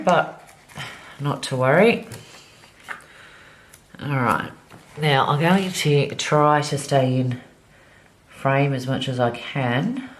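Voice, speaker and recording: A woman vlogger chatting casually as she decorates her planner, slightly nasal from a cold, with many ums. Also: extremely low pitch. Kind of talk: casual plan-with-me narration while decorating.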